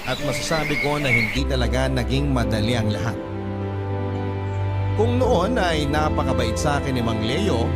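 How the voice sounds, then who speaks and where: A man's voice: mid-range voice, lightly acted. A strong music bed carries an actor playing a lovestruck young Filipino man. He narrates in a mid-range voice, earnest and scripted, as in a radio romance told in the first person. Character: lovestruck young man earnestly telling his love story.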